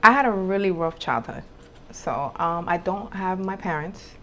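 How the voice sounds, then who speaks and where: A woman's voice: on the low side, lively intonation, moderate pace, pushed and bright, a little hiss or hum. Candid woman, informal. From Dominican Republic, later New York City. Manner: informal, emphatic repetition, mixes in Spanish. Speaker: podcast guest, spiritual entrepreneur. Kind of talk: candid personal storytelling, casual.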